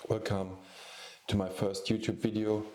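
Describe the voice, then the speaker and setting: Forties guy from luxembourg, english not his first language, lots of ums, slow and low. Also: level tone, warm tone, reverberant, slight background noise. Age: 40s. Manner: hesitant, many ums and restarts. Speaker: photographer and YouTube host. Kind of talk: casual vlog talk.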